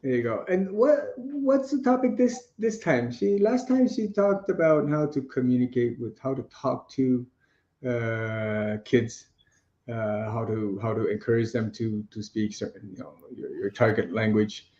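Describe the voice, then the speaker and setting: A man's voice: mid-range voice, unhurried, mellow. A man co-hosting a casual live chat about multilingual children, rambling and chatty in tone. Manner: chatty, rambling, many fillers and restarts. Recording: dull, roomy audio.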